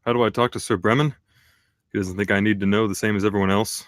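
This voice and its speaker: A man's voice: fairly low pitch, steady tone, fast, dry recording, studio quality, natural voice. A man playing an earnest, nervous, uncertain foot soldier at a screenplay table read. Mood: earnest, troubled.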